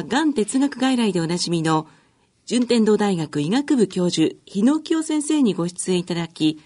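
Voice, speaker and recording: Female radio host: soft-toned and slightly husky, courteous, formal presenting and interviewing. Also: mid-range voice. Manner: polite, formal.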